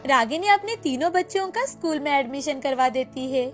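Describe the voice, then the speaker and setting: A woman's voice: sits high, animated, moderate pace, faint music behind. A woman narrating a scripted moral story as storyteller.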